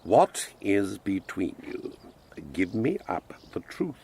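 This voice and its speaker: An actor playing a pompous, wordy old father in Shakespearean style, lecturing his grown children emphatically in a gravelly, husky voice. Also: lowish voice, big pitch swings, slow pace, darkish tone, slight background noise, exaggerated voice. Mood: probing, stern. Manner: declamatory, emphatic, sententious. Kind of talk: Shakespearean stage acting.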